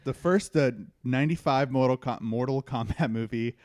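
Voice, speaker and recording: A man's voice: mid-range voice, normal speed, a little mellow. A man in his thirties chatting casually on a podcast, slangy and exclamatory. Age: 30s. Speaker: podcast host. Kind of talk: casual chat.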